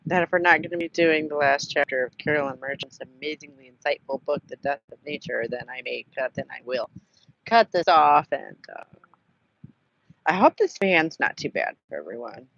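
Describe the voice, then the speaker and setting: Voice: mid-range voice, expressive, normal speed, creaky, fairly bright tone, dry recording. A host running a casual live book-club chat, rambling and self-deprecating, nursing a sore throat. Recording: dull lo-fi sound with a fan humming.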